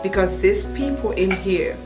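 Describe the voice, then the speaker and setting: A woman's voice: medium pitch, moderate pace. A woman gives an earnest testimonial about her church. Guitar music plays behind her. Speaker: church member.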